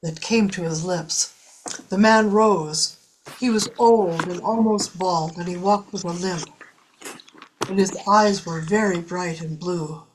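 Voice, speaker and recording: Low-pitched woman narrator. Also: slow pace, a little hiss or hum, rough recording. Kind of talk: scripted audio drama narration.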